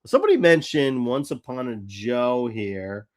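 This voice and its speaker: A man's voice: mid-range voice, big pitch swings, measured pace, a crowd in the background. Irreverent man hosting a casual fan chat. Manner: blunt, irreverent, swears casually. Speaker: host of a live fan show. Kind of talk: casual chat about cartoons.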